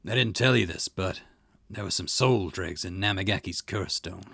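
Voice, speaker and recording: Give the part of a seasoned police boss in a visual novel to a man acting it out. He is low and husky, brusque and wry. His lines come measured and matter-of-fact, with a gravelly rasp. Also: a bit bright, no room sound, clean studio sound, exaggerated voice. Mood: grim, measured. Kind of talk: reading visual novel dialogue in character.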